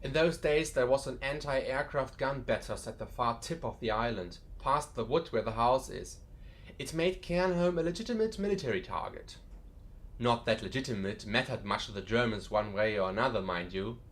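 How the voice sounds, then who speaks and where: A man's voice: medium pitch, expressive, normal speed, natural voice. A man playing a genial, wry museum curator in an audiobook drama, chatty and dryly joking with a macabre humour. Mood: matter-of-fact.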